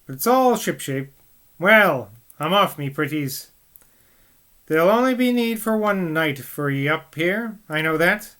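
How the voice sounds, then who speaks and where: Folksy old mayor with a rustic West Country burr, read by a man, fond, bright tone. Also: fairly high pitch, lively intonation, a little slow. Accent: rustic West Country English put on. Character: older male small-town mayor, fond and stubborn.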